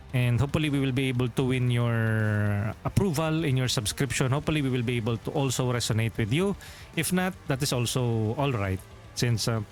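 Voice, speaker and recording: Filipino-accented male streamer, mid pitch, loose rambling chat, faint music under. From Philippines. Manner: rambling, self-correcting, chuckles often. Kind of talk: casual livestream chat.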